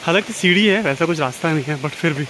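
A man's voice: on the high side, fast talker, rough recording. A young man, chatting casually as he vlogs a forest trek near a waterfall, holding the camera at arm's length. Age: young adult. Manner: chatty, mixes in English phrases. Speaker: vlogger. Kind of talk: casual travel vlog. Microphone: handheld camera or phone at arm's length. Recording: outdoor noise behind the voice.

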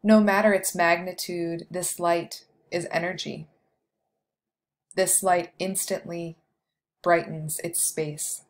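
A woman leading a guided meditation, speaking slowly and softly with long pauses between phrases. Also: on the low side, steady tone. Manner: slow, soft, soothing delivery with long pauses.

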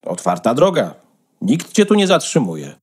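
A man's voice: medium pitch, huge pitch swings, moderate pace, a little mellow, husky. A man playing a bossy, smug female hen who lectures condescendingly in a fairy-tale radio play. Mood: condescending, scolding.

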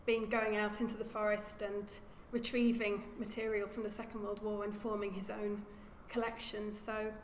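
A woman archaeologist giving an academic lecture at a lectern, speaking in a measured way and often repeating words as she corrects herself. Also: medium pitch, flat delivery. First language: English. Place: lecture room. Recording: echoey lecture hall with faint hum.

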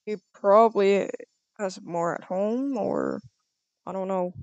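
A speaker improvises casually in a game roleplay, halting as they go. The pitch sits in the middle and the sound is dry and close. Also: gravelly, no room sound, muffled recording. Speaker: player acting as a doctor in a game roleplay.

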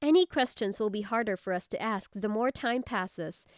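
A woman's voice: mid-range voice, animated, normal speed, dry recording, studio quality, lightly acted. An actress playing a firm, protective young female office senior in a manga story dub, assertive and plain-spoken. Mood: tender.

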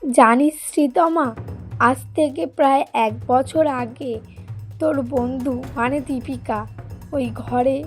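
A woman's voice: high voice, steady tone, slow, faint music behind. A woman voicing a stern but concerned village aunt in a cartoon story, scolding and cautioning. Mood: stern, grave.

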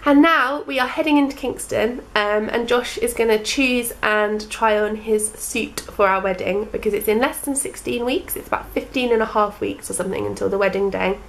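A woman's voice: on the high side, moderate pace, few pauses, slight background noise, rough recording. A woman in her twenties with a cold, chatting upbeat into a handheld camera on her sofa for a day vlog. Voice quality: slightly husky from a cold. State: has a cold, a bit snotty. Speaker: vlogger. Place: living room sofa at home, indoors. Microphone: handheld camera at arm's length.

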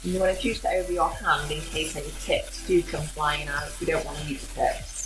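Low-pitched woman hosting a cooking demo. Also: steady tone, a little fast, low-quality recording. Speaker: home cooking show host. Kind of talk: casual cooking demonstration, instructional. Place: modern home kitchen, indoors. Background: background music, frying sizzle.

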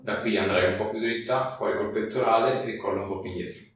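A man's voice: lowish voice, fairly even intonation, fast talker. A man, giving hands-on instructions and asking questions as a chiropractor. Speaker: chiropractor treating a patient. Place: small treatment room, indoors. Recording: very echoey room, distant mic, faint noise.

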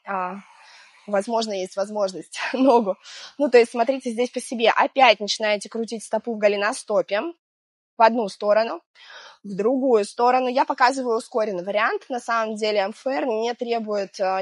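A woman in her thirties, hoarse from a cold, guiding an exercise routine outdoors by the sea in a chatty, gentle way with small laughs. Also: on the high side, no room sound. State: hoarse from illness. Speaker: fitness instructor. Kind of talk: guided exercise instruction. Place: outdoors on a seaside promenade.

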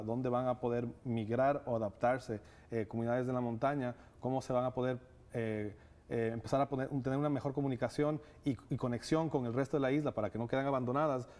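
A man's voice: medium pitch, a little mellow. A man in his thirties from Ecuador, a guest in a TV studio interview, speaking earnestly with many filler sounds. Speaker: guest, nonprofit director. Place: TV studio set. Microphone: lapel mic.

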